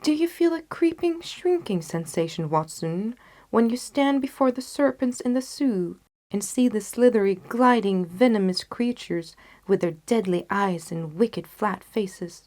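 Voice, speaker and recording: A woman's voice: mid-range voice, animated, a little slow, a little mellow, natural voice. A woman playing an intense, disgusted male detective in an audiobook, speaking in an emphatic, declamatory way. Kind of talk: audiobook character dialogue.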